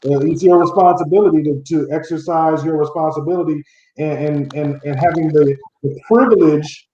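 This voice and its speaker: A man's voice: a little high, steady tone, normal speed, warm tone. A man on a video call panel, making his point firmly and with emphasis. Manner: firm, emphatic. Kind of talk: casual panel discussion. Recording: dull echoey room audio.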